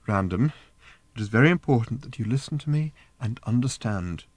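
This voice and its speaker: A man voicing a calm, earnest mother in a story, quietly and persistently pleading with her daughter. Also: mid-range voice, moderate pace, no room sound, lightly acted. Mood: urgent calm.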